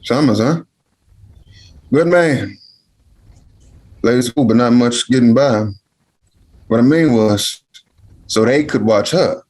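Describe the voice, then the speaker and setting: A man acting a cranky, stubborn old family elder in a drama reading. He grumbles in a folksy, salty-tongued way, at a medium pitch for a man. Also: slow, pauses often, darkish tone, low-quality recording. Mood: grave, wary. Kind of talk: acted table read.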